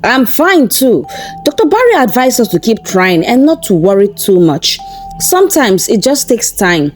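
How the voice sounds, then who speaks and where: A woman's voice: fairly high pitch, big pitch swings, moderate pace, faint music behind. A woman voicing a caring, troubled young husband in a folktale, speaking earnestly. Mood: guarded.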